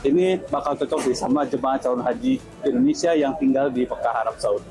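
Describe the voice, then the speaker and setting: A man's voice: medium pitch, fast talker, over music. A man working as a field reporter, presenting in a casual, informal style.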